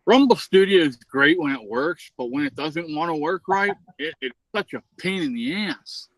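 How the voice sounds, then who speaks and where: A man's voice: fairly high pitch, expressive, moderate pace, no room sound, low-quality recording. Laid-back man hosting a livestream chat. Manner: easygoing, swears casually, reads chat aloud. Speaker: livestream host. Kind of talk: casual chat.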